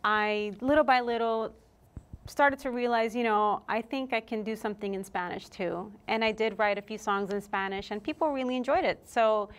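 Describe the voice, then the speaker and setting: A woman's voice: fairly high pitch, smooth. A woman in her thirties who grew up in Puerto Rico, a guest singer telling stories in a casual interview in a TV studio. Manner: chatty, animated storytelling with laughs. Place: TV studio set, indoors. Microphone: lapel mic.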